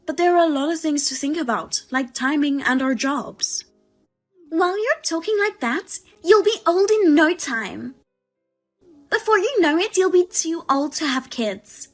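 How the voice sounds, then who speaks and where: A woman voicing a demanding, scornful sister-in-law who accuses and mocks loudly. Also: extremely high pitch, pitched above the performer's own voice, full character voice. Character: shrill, demanding female sister-in-law, a mother.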